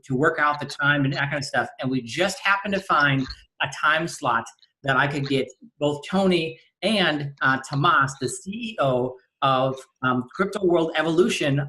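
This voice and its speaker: A man's voice: fairly high pitch, bright, forceful tone, low-quality recording. A man hosting his own channel and interviewing a guest, opening enthusiastically with a chatty, filler-heavy delivery. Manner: enthusiastic, with many fillers and restarts.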